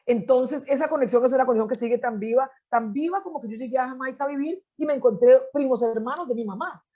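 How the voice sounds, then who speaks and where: A woman's voice: high voice, quick pace, dark tone, highs cut off. A middle-aged Costa Rican woman, an anthropologist and poet, telling family history in an interview, with many restarts and "este" fillers. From Costa Rica. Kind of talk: conversational storytelling.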